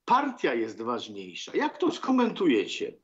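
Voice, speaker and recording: Sardonic older man, animated, slightly muffled sound. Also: mid-range voice, crowd noise. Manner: sarcastic, theatrical, halting. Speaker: TV talk show host. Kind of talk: sardonic political commentary to viewers. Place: book-lined room at home.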